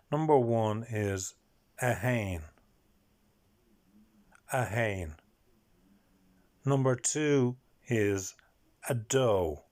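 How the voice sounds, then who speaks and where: Irish guy talking slow and careful, going over number words one by one for his learning vlog. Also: medium pitch, a little mellow. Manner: slow, careful, repeats each word. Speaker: language-learning vlogger. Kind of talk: explaining and repeating number words.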